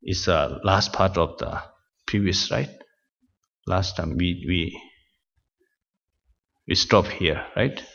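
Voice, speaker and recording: Man, non-native English speaker, Buddhist teacher, halting with fillers, gentle, low voice, muffled reverberant hall. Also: creaky, husky. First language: not English. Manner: halting, many uh fillers and restarts; gentle, self-deprecating. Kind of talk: Dharma talk to a class. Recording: dull, reverberant hall sound.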